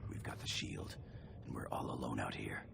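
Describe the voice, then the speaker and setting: A man playing a gruff, gravelly-voiced and loyal dwarven warrior in a fantasy game. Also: very deep voice, fairly even intonation, normal speed, background noise, exaggerated voice. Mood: wary, muttering. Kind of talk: dramatic fantasy game acting.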